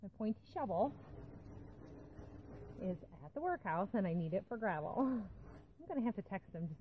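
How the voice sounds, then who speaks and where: A woman's voice: mid-range voice, very animated, normal speed, mellow, a little hiss or hum, rough recording. A woman in her forties talking casually while she works on a farm, thinking aloud as she goes, recorded outdoors by a camera nearby. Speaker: homesteading channel host. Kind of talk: casual talk while working. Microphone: camera on a tripod nearby.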